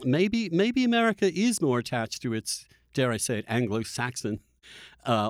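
A man hosting a conversational interview, thinking aloud in a halting, opinionated way. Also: mid-range voice, no echo, studio quality. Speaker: interviewer, podcast host.

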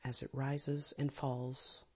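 A woman leading a guided meditation in a calm, gentle, soft and breathy manner, with long pauses. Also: rumbling low. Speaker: meditation teacher. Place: live small group session.

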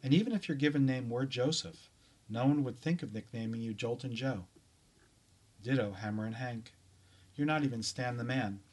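A man's voice: mid-range voice, moderate pace, a little mellow. Male author-reader, medium register, scripted second-person literary narration. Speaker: author reading own short story.

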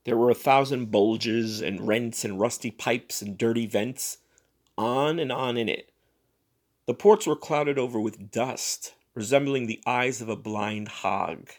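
A man reading a literary short story as a dramatic narrator, with expressive, deliberate delivery. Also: medium pitch. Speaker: story reader.

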